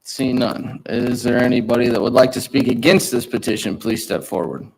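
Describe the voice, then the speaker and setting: A man chairing a city public hearing, procedural and halting, often repeating himself. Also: mid-range voice, steady tone, creaky, darkish tone, a little hiss or hum, poor audio.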